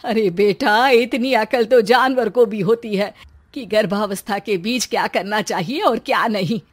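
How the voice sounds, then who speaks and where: A woman's voice: mid-range voice, unhurried, husky. A woman voicing a concerned, admonishing mother of a grown daughter in a story. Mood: scolding.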